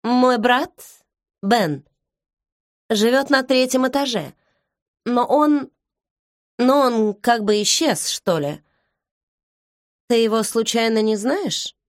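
A woman's voice: fairly high pitch, lively intonation, slow, long pauses, no echo, studio quality. A woman voicing a prying, predatory young woman. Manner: prying, insistent questions. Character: nosy, predatory young woman with a forced smile. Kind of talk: audiobook character voice.

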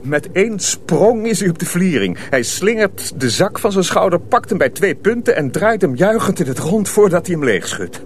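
A man's voice: sits high, big pitch swings, moderate pace, hardly any pauses, slight background noise. A man narrating a radio drama as a storyteller, reading scripted prose dramatically.

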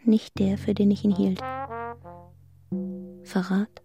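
Radio drama lead: wounded, resolute young governess, medium register, intense yet restrained narration, musical backing. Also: female voice, medium pitch, level tone, slow, creaky, highs cut off, music in the background. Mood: desolate, anguished. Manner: restrained, intense, breaking into hushed fragments. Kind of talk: German radio play narration and acting.

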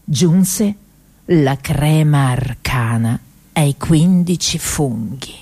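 A woman actress narrating a comic story for radio theatre, grandly emphatic, with dramatic pauses. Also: low voice, mellow, husky.

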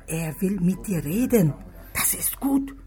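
A woman's voice: fairly low pitch, expressive, a little slow, over loud music. An actress playing a proud, insistent middle-aged mother of a grown son in a radio crime drama.

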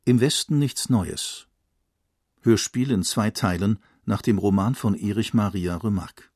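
A man's voice: lowish voice, unhurried, a little mellow, husky, dry recording, studio quality. A man reading a scripted radio drama announcement in a formal, clipped manner. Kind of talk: scripted announcement of title and cast.

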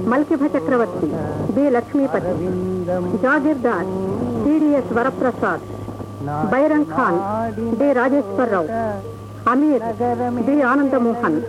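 A woman with a very high voice makes a formal radio announcement, reading credits. The recording is dull, with music clearly heard behind her.

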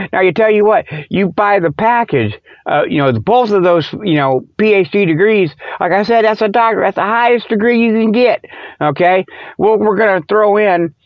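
A man's voice: sits high, no echo. A man hosting a podcast, rambling through a comedic monologue in folksy, colloquial speech with a mock-salesman streak. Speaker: podcast host. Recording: dull, lo-fi radio-style audio.

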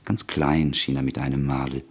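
Very deep, gravelly male narrator. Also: flat delivery. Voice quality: deep, measured. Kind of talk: scripted radio play narration.

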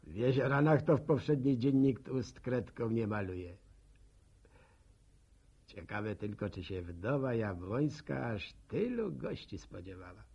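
An actor playing a wry, folksy village man who gossips as he narrates a radio serial, with a husky voice and speech. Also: mid-range voice, lively intonation, measured pace, heavily acted. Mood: wry musing. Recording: dull old radio recording.

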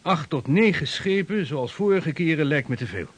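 A man's voice: on the high side, animated, moderate pace, slightly rough. Casting: male actor as an assured merchant statesman, radio play. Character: confident 16th-century Dutch merchant statesman. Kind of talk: radio drama acting.

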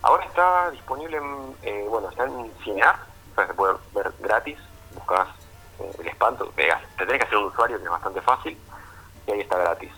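A man's voice: on the low side, animated, a little fast, bright, forceful tone, husky. A man with an Argentine accent answers casually as a filmmaker in an interview over a phone line, explaining informally and checking with little tag questions. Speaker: documentary filmmaker being interviewed.

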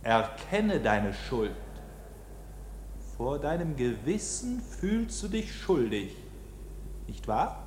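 Solemn priest in cavernous reverb. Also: male voice, medium pitch, sing-song, darkish tone, slight background noise. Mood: stern accusing. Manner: solemn, measured, sermonizing. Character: stern, solemn priest of the court preaching guilt. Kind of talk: dramatic radio play acting. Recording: long church-like reverb, background ambience.

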